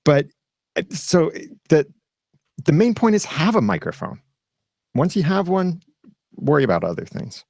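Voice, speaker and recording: A man's voice: on the high side, wildly swooping pitch, normal speed, vocal fry, long pauses, a little mellow, dry recording, studio quality. A man speaking as a guest in a casual roundtable chat, explaining at length with a self-deprecating streak. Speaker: podcast guest.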